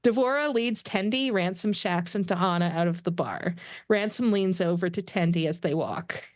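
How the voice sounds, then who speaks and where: A woman's voice: on the low side, animated, normal speed, dry recording, clean studio sound. A woman reading stage directions as narrator of a scripted table read.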